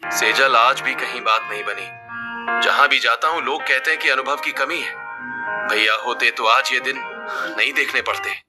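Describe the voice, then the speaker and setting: A man's voice: mid-range voice, moderate pace, natural voice. Man acting a stressed, flustered young businessman. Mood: dejected. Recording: music bed under the voice.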